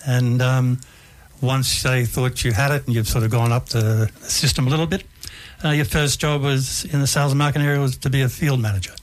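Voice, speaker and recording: An older Australian man telling his life story as a radio guest, relaxed and hesitant, with frequent ums. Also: medium pitch, fairly quick.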